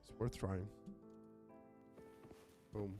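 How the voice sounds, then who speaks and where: A man's voice: lowish voice, fairly even intonation, very slow. A man live streaming a game, commenting casually, reacting and thinking aloud. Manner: casual, reactive, hesitant mid-thought. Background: game music and dialogue.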